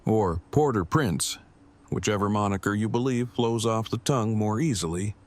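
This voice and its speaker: A man's voice: lowish voice, normal speed, natural voice. A man playing a wordy, pedantic but devoted groom in an audio drama, speaking in stilted, formal phrases. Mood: earnest, curious.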